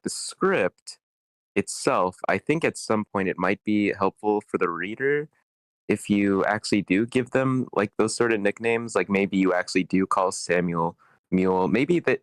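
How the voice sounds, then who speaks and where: A man's voice: lowish voice, normal speed, no room sound. A man giving casual, slangy feedback in an online meeting, rambling and stammering as he goes. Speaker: participant giving script feedback.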